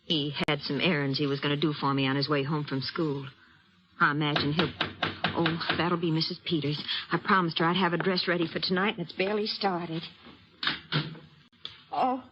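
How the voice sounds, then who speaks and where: An actress playing a protective, wounded frontier aunt in an old-time radio western, speaking earnestly and emotionally with a husky voice. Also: female voice, deep voice, steady tone, normal speed, background noise, natural voice. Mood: flustered. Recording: dull, lo-fi old radio recording.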